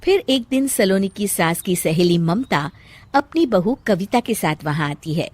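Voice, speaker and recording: A woman's voice: mid-range voice, expressive, measured pace, darkish tone, no echo. A woman narrating a cartoon story from a script.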